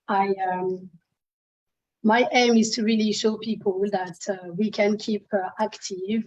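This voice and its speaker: A woman with a French accent and a husky voice introduces herself in an online meeting. She speaks hesitantly, with many pauses and fillers. The audio is dull and muffled, as over a video call. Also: medium pitch, darkish tone. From France. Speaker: Zumba instructor.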